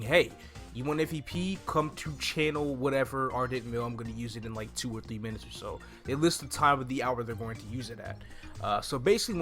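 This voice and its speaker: A man's voice: mid-range voice, a bit bright. A man in his twenties giving laid-back, explanatory walkthrough commentary on a video game, speaking close to a desktop microphone. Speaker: gaming YouTuber. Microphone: desktop microphone on a stand, close. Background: game music.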